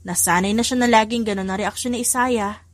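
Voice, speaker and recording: A woman's voice: on the high side, fairly even intonation, fairly quick, no room sound. A woman with a Filipino accent reading scripted narration of a romance story. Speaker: audiobook narrator. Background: music.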